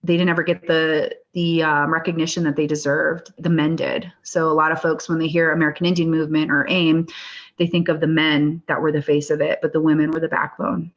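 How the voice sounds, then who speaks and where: A woman with a low voice talks earnestly about her work, pausing and restarting as she searches for words. The sound is somewhat dull and lo-fi. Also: flat delivery. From Standing Rock, US. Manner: earnest, thoughtful, with frequent restarts. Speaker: guest artist. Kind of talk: interview conversation. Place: Denver, US.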